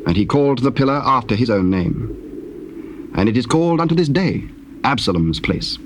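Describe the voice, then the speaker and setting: Casting: male narrator, medium pitch, measured scriptural storytelling, noisy old cassette sound. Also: sing-song.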